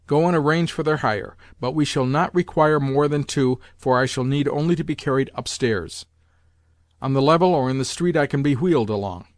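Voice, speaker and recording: A man's voice: medium pitch, normal speed, no echo, studio quality, close to an everyday voice. A man reading aloud, voicing an imperious old grandmother who scolds and gives orders bluntly.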